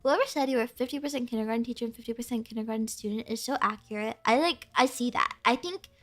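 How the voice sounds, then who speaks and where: A woman's voice: a little high, fast talker, fairly bright tone, faint music behind. A young woman streaming and chatting casually with her viewers, bubbly and giggly, full of "like" fillers, with a stuffy, sniffly nose.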